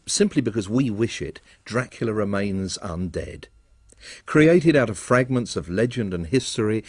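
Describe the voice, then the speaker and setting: A man narrating a radio arts documentary in a wry, measured storytelling manner. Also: mid-range voice, darkish tone, husky, no echo, clean studio sound.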